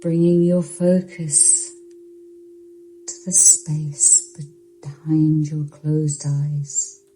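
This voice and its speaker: A woman narrating a guided yoga nidra meditation in a soothing, hushed, unhurried voice with long pauses. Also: low voice, level tone, soft background music, poor audio.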